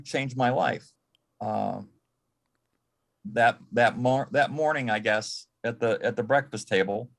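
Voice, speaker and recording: An American man from Michigan reminiscing as a panel guest in a halting, reflective way, often repeating words. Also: mid-range voice, gravelly. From Michigan, United States. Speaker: panel guest, former assistant to an entertainer.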